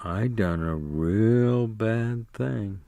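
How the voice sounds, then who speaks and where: A man voicing a big, slow-witted, childlike grown man who talks in a simple, pleading drawl. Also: a little high, expressive, clear, resonant tone, no echo, highs cut off, pitched above the performer's own voice. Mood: hushed fear.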